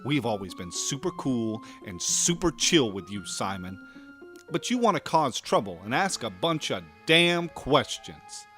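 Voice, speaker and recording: A man's voice: mid-range voice, very animated, a little slow, pushed and bright, faint music behind. A man playing an odd, overfriendly, petty village uncle in a comic audio drama. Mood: defensive.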